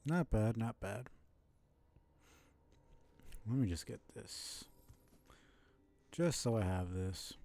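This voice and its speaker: A man with a low voice and plenty of vocal fry talks through a video game as he streams it. His delivery is casual and low-key, with a dark, mellow tone, as if half talking to himself. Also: expressive, takes it slowly, pauses often. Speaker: livestreamer playing a video game. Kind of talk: casual live gameplay commentary.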